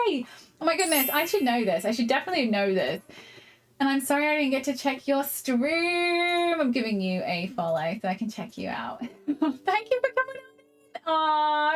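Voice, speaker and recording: Cheerful Kiwi woman, young, hosting a relaxed craft stream; bright sing-song greetings over quiet background music. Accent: New Zealand English. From New Zealand. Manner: bubbly, laughs often, greets chatters in a high sing-song. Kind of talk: casual live-stream chat while crafting.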